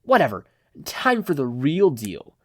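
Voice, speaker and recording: A man's voice: on the high side, huge pitch swings, measured pace, pitched above the performer's own voice, heavily acted. A man doing a sleazy, sneering gangster. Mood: cocky, menacing. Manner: sneering, taunting. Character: sleazy, cocky male gangster henchman.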